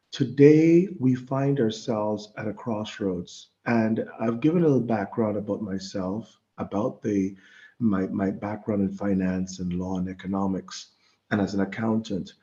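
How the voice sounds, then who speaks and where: A middle-aged man from the Bahamas giving an informal religious talk online, speaking haltingly and often repeating words as he goes. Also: on the low side, mellow, lo-fi sound. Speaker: guest presenter. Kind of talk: informal religious lecture over an online meeting.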